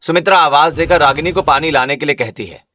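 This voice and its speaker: A man's voice: on the high side, fast talker, pushed and bright. A man narrating a scripted cartoon story as a storyteller.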